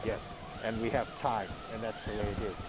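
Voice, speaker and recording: A man's voice: on the low side, little pitch movement, a little fast, background noise. A man co-hosting with comic banter as he presents a show. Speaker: show co-host.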